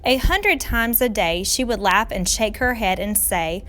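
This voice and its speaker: A woman reading a children's storybook aloud like a teacher, with expressive, deliberate, dramatic phrasing for young listeners. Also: medium pitch.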